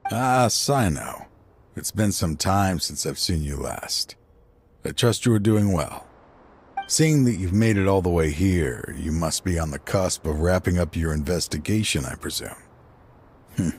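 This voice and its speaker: Tired older game character, regretful father; very deep, slow, sighing. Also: lively intonation, a little mellow, a little hiss or hum. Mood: weary regretful. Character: aging ex-partner, grieving regretful father. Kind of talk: game cutscene voice acting.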